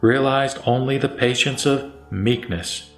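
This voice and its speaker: A man reciting scripture as a scripted narration, with measured, solemn phrasing. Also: medium pitch, a bit bright. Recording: roomy echo, music underneath. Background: background music.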